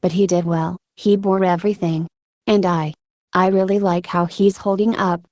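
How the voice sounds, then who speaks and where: A woman giving reflective interview answers in a halting, thoughtful way with frequent filler words. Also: on the low side, flat delivery, a little mellow, no echo, studio quality. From Ukraine. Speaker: military combat medic being interviewed.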